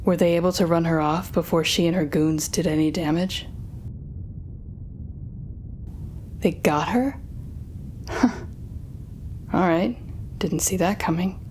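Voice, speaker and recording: Villainess for audio roleplay, played by a woman: slightly low register, theatrical and needling, intimate address to the listener, faint noise behind. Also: darkish tone, close to an everyday voice. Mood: wry, teasing. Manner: taunting, theatrical, drawn-out teasing phrasing. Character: sardonic female telepathic supervillain, defeated but smug.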